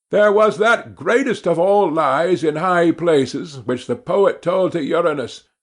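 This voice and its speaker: A man narrating a classical philosophical dialogue as an audiobook reader, in a measured, formal manner. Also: fairly high pitch.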